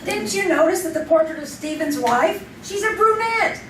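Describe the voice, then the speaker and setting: A woman's voice: very high voice, animated, moderate pace, a little hiss or hum. An actress playing a stubborn, intuitive female sidekick in an old-time radio drama, with an insistent, knowing manner. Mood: sly, knowing. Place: community theater stage. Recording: roomy, distant live stage recording.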